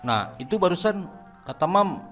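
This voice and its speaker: A man voicing an eager young male assistant who asks quick questions. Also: high-pitched, sing-song, fairly bright tone, faint music behind, voice pushed up.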